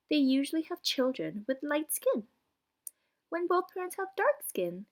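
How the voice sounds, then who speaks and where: A woman reads a children's picture book aloud like a preschool teacher, explaining things and putting questions to young listeners. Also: on the high side. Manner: clear explanatory reading with questions posed to children.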